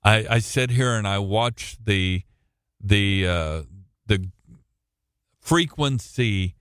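A middle-aged American man with a booming voice, teaching from the Bible as a preacher in a halting, deliberate way with long pauses. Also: a bit bright, smooth, no room sound, studio quality. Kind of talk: Bible reading and informal teaching with personal anecdotes. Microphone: close studio-style microphone at a computer.

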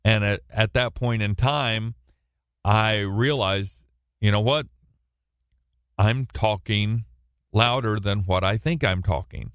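Slow, loud-voiced radio Bible teacher. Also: male voice, lowish voice, clear, resonant tone, no echo, studio quality. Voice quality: loud, projecting voice. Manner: halting, with frequent restarts and repeated words. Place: radio studio, alone.

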